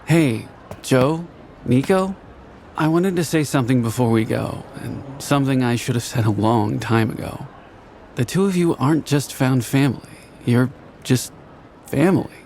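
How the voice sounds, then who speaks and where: A man's voice: mid-range voice, lively intonation, normal speed, vocal fry, darkish tone, a little hiss or hum. An actor playing a tender, devoted middle-aged family man, speaking in a sincere, reflective and lightly humorous way. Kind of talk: heartfelt voice acting, journal narration. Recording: voice over background music.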